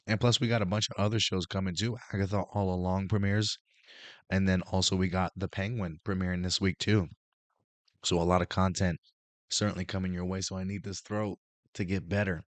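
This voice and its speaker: A hoarse man hosting a casual show review, rambling with many restarts while recovering his voice. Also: low-pitched, little pitch movement, a little fast, no room sound. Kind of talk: casual review talk to camera.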